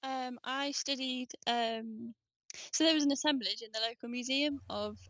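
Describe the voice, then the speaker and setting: A woman's voice: sits high, steady tone, normal speed, a bit bright, no echo, poor audio. A young woman in her twenties, a student guest on a podcast, talking enthusiastically and casually, full of "um" and "like". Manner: enthusiastic, chatty, frequent "um" and "like". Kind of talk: casual conversational interview.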